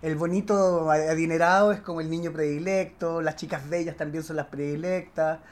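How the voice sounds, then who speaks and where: Expressive Chilean man chatting casually. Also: on the high side, moderate pace, a little hiss or hum. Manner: expressive, slangy, repeats words for emphasis. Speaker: actor, podcast guest. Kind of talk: casual chat, personal anecdotes.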